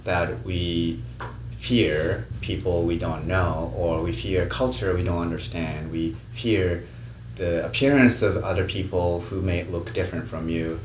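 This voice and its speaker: A very low-voiced man with a Japanese accent talks through an interview answer, measured and hesitant. The recording is muffled and lo-fi, with a noticeable room echo and a clear noise bed behind him. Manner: measured, with many hesitant ums. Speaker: film director.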